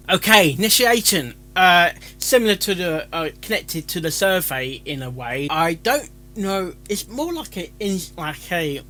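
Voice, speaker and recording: Excitable, bright-voiced man reacting on camera. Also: high voice. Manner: excitable, interjects with exclamations and questions. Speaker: reaction video host. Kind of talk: casual reaction commentary.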